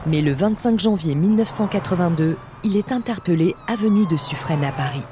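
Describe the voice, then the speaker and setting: A woman's voice: fairly low pitch, expressive, a little fast, noisy background. A woman reading a scripted true-crime story as a podcast narrator. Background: music.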